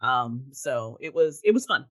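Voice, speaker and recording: A woman chatting casually as an interview guest, animated and enthusiastic like a devoted fan. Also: rumbling low, a little slow. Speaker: podcast guest, writer and audio drama creator.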